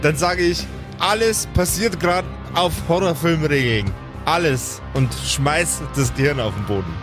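A man's voice: a little high, measured pace. A man playing a tabletop game, improvising casually in character. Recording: loud music behind the voice. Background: music.

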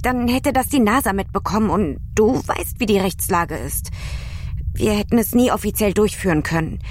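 A woman's voice: mid-range voice, normal speed, slight background noise, close to an everyday voice. An actress playing a guarded, defensive female astronaut with a secret in an audio drama. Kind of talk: German audio drama acting.

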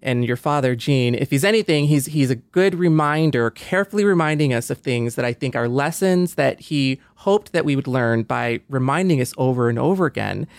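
A man's voice: mid-range voice, animated, normal speed, hardly any pauses, no room sound. A man hosting a podcast, speaking in a reflective conversational way with an earnest, optimistic manner and frequent you-knows. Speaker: podcast host.

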